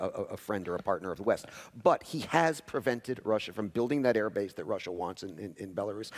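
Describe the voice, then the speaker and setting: Blunt, emphatic man on a panel. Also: medium pitch. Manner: blunt, emphatic, repeating words. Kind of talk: panel discussion answer. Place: large conference hall.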